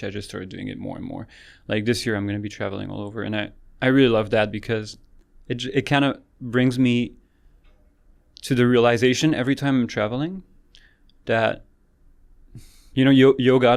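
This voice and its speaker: A man in his late twenties from France with a light French accent, a yoga teacher talking calmly and softly in a casual interview. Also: lowish voice, creaky. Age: late 20s. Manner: calm, soft-spoken, frequent restarts and laughs.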